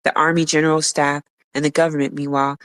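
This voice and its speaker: Podcast co-host reading history aloud; monotone, dry close mic, casual asides and self-corrections. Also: medium pitch, fast, smooth, no room sound. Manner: reads steadily, stumbles and restarts words, drops blunt offhand remarks.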